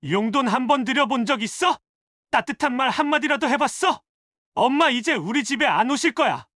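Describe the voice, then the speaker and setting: High, bright, accusing spouse as acted out. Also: expressive, dry recording, studio quality. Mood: angry, accusing. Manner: accusatory, rapid rhetorical questioning. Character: indignant adult son scolding his wife over his mother. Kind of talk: dramatized family story dialogue.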